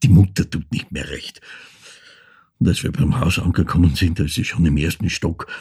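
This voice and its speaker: An actor playing a haunted older man with an Austrian accent, telling of his mother in a halting, intimate theatrical monologue with a husky, creaky voice. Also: sits low, a little mellow, heavily acted. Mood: brooding. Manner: halting, brooding, shifting into whispers.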